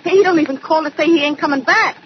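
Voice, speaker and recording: An actress playing a chatty, slangy, wisecracking secretary in an old-time radio detective drama. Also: female voice, high-pitched, fast talker, natural voice. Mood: sassy, griping. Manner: slangy, sassy, talkative. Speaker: actor. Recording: muffled, noisy old radio recording.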